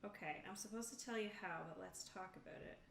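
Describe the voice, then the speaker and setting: A woman's voice: medium pitch, fairly quick, gravelly, a bit bright. A woman talking casually as a player working out her character in a tabletop roleplaying game. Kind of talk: casual table talk while creating a character. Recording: roomy echo, distant mic, noisy background.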